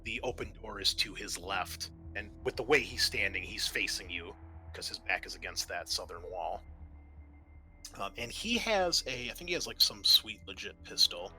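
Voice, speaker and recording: A man with a bright, forward voice runs a tabletop roleplaying game. He narrates and explains rules in a casual, sweary way, with music playing underneath. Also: on the low side, expressive, normal speed. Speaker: game master.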